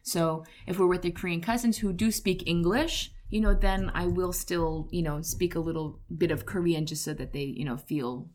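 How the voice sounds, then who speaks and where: A woman in her forties talking casually as a guest, relaxed and chatty, with plenty of fillers and asides. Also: on the low side, moderate pace, hardly any pauses. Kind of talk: casual conversation.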